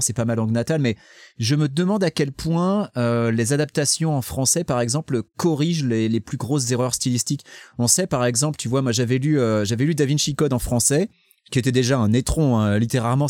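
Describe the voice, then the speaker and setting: A man with a medium-pitched voice talks in loose podcast banter, sarcastic and mocking, and swears often. His voice sits close and dry, with no room sound around it. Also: a little mellow, no echo. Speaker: podcast host. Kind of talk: casual book-club chat.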